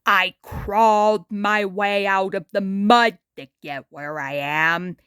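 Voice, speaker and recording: A woman's voice: mid-range voice, slow pace, fairly bright tone, clear, resonant tone, dry recording, studio quality, voice pushed down. A woman voicing a cynical, jaded male mudskipper, an old showbiz hand with a wisecracking, world-weary drawl, in a storybook reading. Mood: gruff advice.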